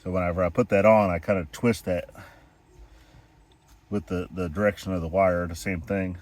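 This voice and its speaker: A man with a very low voice talks through a fix-it job step by step, relaxed and hands-on. He sounds stuffy and sniffly from a lingering chest cold, recorded outdoors while kneeling on rocks. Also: moderate pace. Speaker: homestead vlogger. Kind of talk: hands-on DIY how-to.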